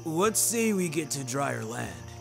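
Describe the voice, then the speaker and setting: A man's voice: mid-range voice, very animated, takes it slowly, vocal fry, over music, pitched above the performer's own voice, exaggerated voice. A man voicing an upbeat male werewolf in a fiction podcast, a rocker dude with eager, slangy, emphatic delivery. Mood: encouraging.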